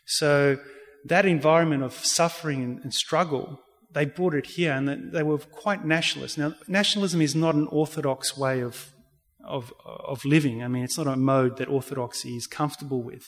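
A man with an Australian accent giving a public talk and answering questions in a halting, earnest, explanatory way. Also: a little high, steady tone. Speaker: religious speaker giving a community talk. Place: reverberant indoor hall. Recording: echoey hall with long reverb.

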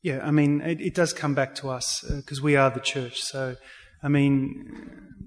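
A man with an Australian accent giving a public talk and answering questions in a halting, earnest, explanatory way. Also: mid-range voice, fairly even intonation. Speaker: religious speaker giving a community talk. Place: reverberant indoor hall. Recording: echoey hall with long reverb.

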